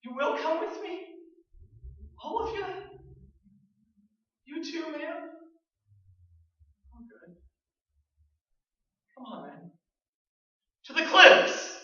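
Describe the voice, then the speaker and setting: Unhinged radio reporter in a stage suspense play; very high, piercing, echoing from far off. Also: male voice, expressive, very slow, long pauses, pushed and bright, dull audio, exaggerated voice. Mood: crazed. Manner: theatrical, addressing listeners and unseen company. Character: frantic male radio broadcaster losing his mind with fear. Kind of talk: 1940s suspense radio acting. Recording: echoey stage, distant microphone.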